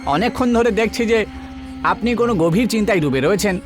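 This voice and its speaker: A man voices a timid cartoon police constable. His voice sits high for a man, and he is deferential but reluctant and prone to complain. Soft music plays underneath. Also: lively intonation, fairly quick. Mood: concerned, deferential. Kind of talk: cartoon horror story acting.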